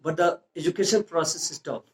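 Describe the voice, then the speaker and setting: A man from Pakistan with a Pakistani accent, a school founder answering interview questions in earnest, non-native English, pausing often with fillers and restarts. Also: fairly high pitch, a little hiss or hum. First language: Urdu or a local language.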